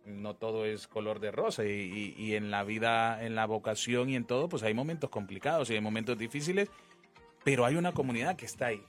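A man's voice: lowish voice, brisk. A man, speaking as a priest in an informal religious conversation, emphatic and repeating phrases as he explains. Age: 20s. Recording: music under the talk.

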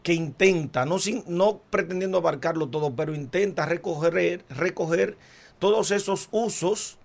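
A male priest calmly explaining church law as a guest on a talk show, step by step. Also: sits high, moderate pace, gravelly.

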